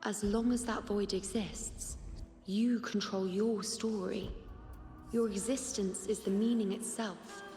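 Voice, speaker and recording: Game role: a gentle female mystic, mellow and unhurried, swimming in echo. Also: medium pitch, steady tone. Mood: gentle, assuring. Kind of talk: video game dialogue. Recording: long echoing reverb, faint music.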